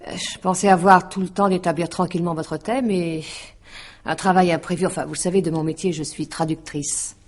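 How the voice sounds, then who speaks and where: An actress playing a courteous, hesitant woman who reads horoscopes, measured and polite, in a 1950s-style French radio drama. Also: on the low side, fairly even intonation, lightly acted. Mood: polite, apologetic. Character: courteous, hesitant woman translator and amateur astrologer.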